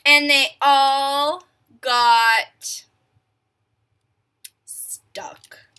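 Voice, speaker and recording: A woman reading a picture book aloud to children in an expressive way, stopping to ask her young listeners questions and sometimes dropping to a hush for suspense. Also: high voice, extremely slow.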